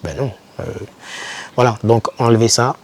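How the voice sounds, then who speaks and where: A man's voice: medium pitch, moderate pace. A young man giving informal motivational advice to camera, speaking emphatically and repeating key words to encourage the listener. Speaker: YouTuber advising foreign students.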